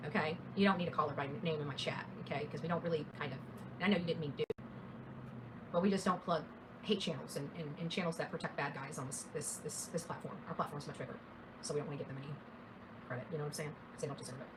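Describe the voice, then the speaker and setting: A woman's voice: deep voice, steady tone, quick pace, background noise. A woman livestreaming casual true-crime commentary in a blunt, opinionated, emphatic way, as the host addressing her viewers.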